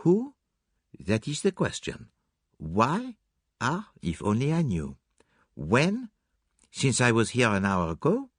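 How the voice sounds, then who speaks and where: A man voicing an excitable, theatrical foreign detective, emphatic and dramatic, in an audiobook. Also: medium pitch, normal speed, slightly rough, no room sound, studio quality, voice pushed up. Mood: brooding. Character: excitable, theatrical foreign male detective, fastidious and self-reproaching.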